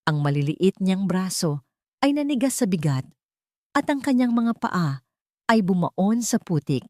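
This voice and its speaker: A woman's voice: mid-range voice, very animated, a little slow, warm tone, dry recording, studio quality. A woman narrating a dramatic story, her voice rising with emotion at tense moments.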